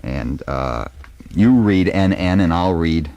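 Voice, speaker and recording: A man hosting a classical music radio programme, speaking in a measured, halting, thoughtful way. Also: low voice, expressive, a little mellow, no room sound. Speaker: radio host. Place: radio studio.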